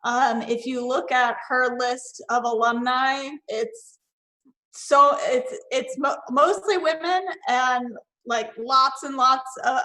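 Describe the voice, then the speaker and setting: A woman's voice: fairly high pitch, sharp tone, rough recording. A woman in her thirties from Northern California, chatting casually as a panelist over a video call with many fillers and restarts. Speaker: panelist and award finalist.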